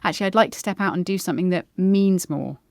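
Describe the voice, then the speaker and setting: A woman in her forties, a podcast guest, talking in a thoughtful, measured way with frequent pauses. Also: fairly low pitch, no echo, studio quality. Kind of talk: reflective conversation.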